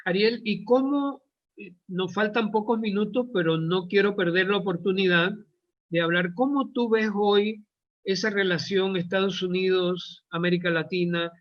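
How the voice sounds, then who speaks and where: A man's voice: high-pitched, moderate pace. A man interviewing a guest in a courteous, conversational way as a presenter, heard on lo-fi call audio. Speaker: interviewer, book fair presenter. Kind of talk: conversational interview, reading audience comments.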